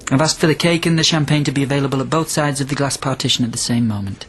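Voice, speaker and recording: A man acts out an immortal astronaut, centuries old and sealed in quarantine, in a science fiction radio drama. His voice is mid-pitched, wry and reflective, with a pleading edge. There is clear ambient noise around him. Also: racing, a bit bright, natural voice. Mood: wry, resigned. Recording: clearly noisy background ambience.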